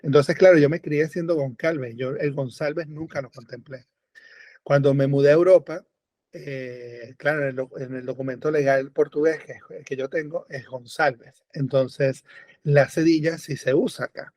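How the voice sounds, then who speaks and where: A Venezuelan-born man chatting as a radio guest, animated and full of fillers and rephrasing. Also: a little high, normal speed, no room sound. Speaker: guest, book editor. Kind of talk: casual radio conversation. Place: remote call or studio, lives in Europe.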